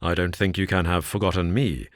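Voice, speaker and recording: A man's voice: deep voice, steady tone, brisk, dry recording, clean studio sound, lightly acted. A man voicing a genial, confident detective in an easy, self-assured manner.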